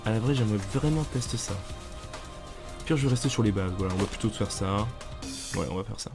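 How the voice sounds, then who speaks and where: A man with a cold, chatting in slang and getting excited while commenting on a game to his viewers. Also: fairly low pitch, fairly quick. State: has a cold. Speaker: gamer. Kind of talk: casual gameplay commentary. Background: game music and sounds.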